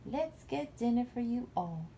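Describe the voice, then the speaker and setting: Kind, encouraging young princess for a storybook, played by a woman reader, mellow with some creak. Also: on the high side, animated, unhurried, slight background noise, voice pushed up.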